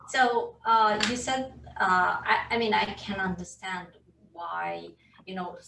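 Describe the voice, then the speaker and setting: A woman's voice: medium pitch, slow, gravelly, pushed and bright, echoey room. Woman, interviewer, conversational, off-mic and distant, faint noise behind. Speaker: interview host.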